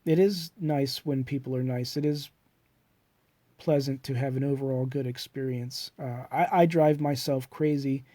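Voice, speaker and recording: A man's voice: mid-range voice, fairly even intonation, moderate pace, warm tone, dry recording. A middle-aged man with a cold and a congested voice, musing in a hesitant, reflective monologue full of fillers and restarts. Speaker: self-published author. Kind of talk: rambling personal monologue.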